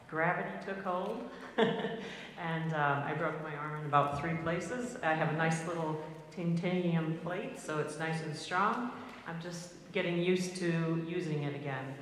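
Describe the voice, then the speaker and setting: A middle-aged woman conductor with a loud voice, chatting with fillers as she introduces a guest from a concert hall stage. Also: low voice. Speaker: band conductor. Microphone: mic on a stand. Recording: roomy concert hall reverb, faint hiss.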